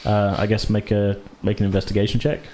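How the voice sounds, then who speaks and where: A man's voice: lowish voice, flat delivery, fast talker, darkish tone, a little hiss or hum. A man acting as game master, narrating a tabletop game in an informal, conversational way. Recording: roomy echo.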